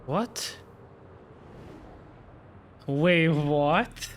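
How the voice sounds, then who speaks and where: Female game streamer: notably low register, slow pace, casual reactions. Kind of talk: casual gameplay reaction commentary.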